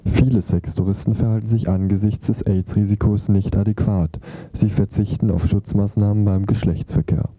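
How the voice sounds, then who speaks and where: A man's voice: fairly low pitch, steady tone, moderate pace, mellow, husky, no echo. A man presenting a scripted radio feature, reading it out on a dull lo-fi recording.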